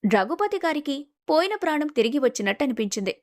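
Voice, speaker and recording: A woman's voice: high voice, very animated, fast talker. A woman storyteller reading scripted narration for a moral story.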